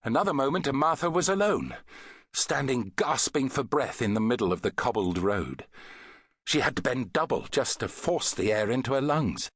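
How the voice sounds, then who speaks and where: A man reading an adventure novel as an audiobook narrator, in an expressive, dramatic storytelling manner. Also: medium pitch, normal speed, slightly rough, dry recording.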